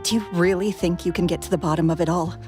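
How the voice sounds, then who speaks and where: A woman's voice: on the low side, fairly even intonation, quick pace, natural voice. An actress playing a wary, bitter, defensive woman in a video game. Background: music.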